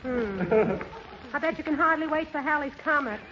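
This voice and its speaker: Wisecracking agency clerk actress, high, dry and snappy; dull, lo-fi vintage radio comedy. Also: female voice, normal speed, close to an everyday voice. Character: brisk, wisecracking female employment agency clerk. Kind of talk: 1930s radio comedy acting. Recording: muffled, noisy 1930s radio recording.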